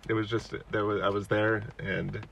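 A middle-aged man, a podcast host and parent, chatting casually with his child, relaxed and chuckling, asking lots of questions. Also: fairly low pitch, moderate pace, creaky, a little hiss or hum. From United States.

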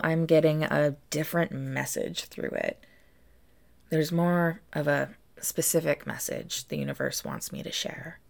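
A woman's voice: low-pitched, creaky. A woman hosting a reflective solo podcast as a tarot reader, speaking earnestly and directly to her listeners.

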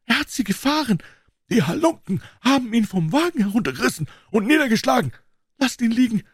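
A man voicing a desperate, frantic man rushing to a rescue, in a strained, high shouting voice. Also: big pitch swings, slightly rough, no echo, studio quality, full character voice.

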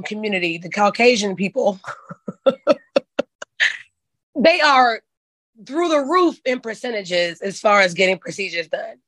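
Hoarse woman hosting a chat show. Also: on the high side, fairly bright tone, no room sound. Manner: folksy and candid, says "child". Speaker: podcast host. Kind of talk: casual chat.

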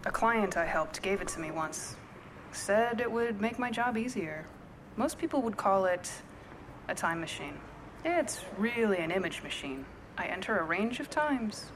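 An actress playing a cool, confident female private investigator in a noir radio play, deadpan and wisecracking. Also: mid-range voice, normal speed, background noise, lightly acted. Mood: brisk, explaining. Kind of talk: noir radio play acting, narration and dialogue.

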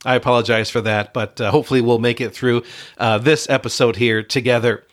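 A man's voice: medium pitch, steady tone, fairly quick. A middle-aged man from Michigan hosting his own podcast as a pastor and coach, talking earnestly with a congested, slightly wheezy voice and frequent fillers. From Michigan, USA. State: congested from a cold. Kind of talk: solo podcast monologue.

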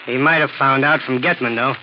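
An actor playing a wary, slangy young working-class messenger in 1940s radio style. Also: male voice, medium pitch, fairly quick, close to an everyday voice. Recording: muffled, hissy old radio recording.

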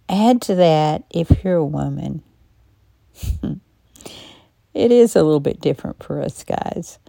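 A woman with a low, gravelly, hoarse voice talks slowly and thoughtfully through a personal podcast monologue. She has a non-native English accent, pauses often between her thoughts, and sounds close and dry on the mic. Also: dry recording. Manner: calm, reflective, measured delivery with frequent pauses. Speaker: podcast host.

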